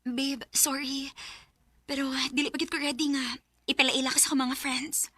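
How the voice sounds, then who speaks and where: A woman's voice: high voice, level tone, moderate pace, background noise, close to an everyday voice. An actress playing a coy, evasive young girlfriend in a Filipino radio drama, with a Bisaya accent. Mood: apologetic, hesitant. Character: coy, evasive young girlfriend keeping her romance secret.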